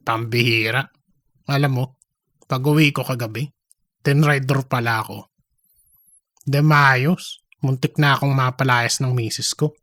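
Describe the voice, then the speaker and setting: A man acts out a boastful office womanizer who wants to be manager in a comedic audio story. His voice sits at a middling pitch, cocky and gossipy, and he keeps repeating his points. The sound is close and dry. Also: a bit bright, no room sound, natural voice. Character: boastful philandering male office worker bidding to be manager.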